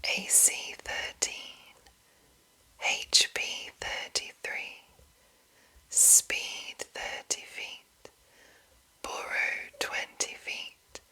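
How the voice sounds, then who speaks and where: A woman whispering an ASMR reading in a breathy voice, deliberate and broken into short phrases with pauses. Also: pushed and bright. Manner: slow, deliberate, pausing between short phrases. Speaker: ASMR host reading game monster entries.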